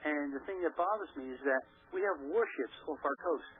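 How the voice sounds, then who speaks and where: Guy calling into radio, kinda high voice, casual and halting, tinny phone sound.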